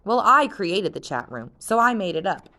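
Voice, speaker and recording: A woman's voice: mid-range voice, sing-song, moderate pace, pushed and bright. A woman reading aloud, voicing a confident, chatty teenage girl who brushes off worries. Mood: breezy. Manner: breezy and dismissive.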